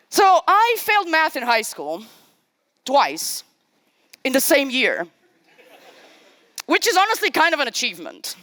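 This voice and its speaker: Lively joking woman. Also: sits high, slow, long pauses, no room sound. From Portugal. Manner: casual, self-deprecating, joking. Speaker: conference speaker. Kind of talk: live-coding tech talk. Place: conference stage.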